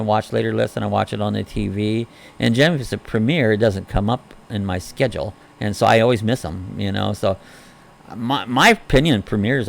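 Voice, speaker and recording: A man with a hoarse, crackly voice from a respiratory illness, hosting a casual morning live chat, greeting viewers by name and reading their comments aloud in an easygoing, rambling way. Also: lowish voice.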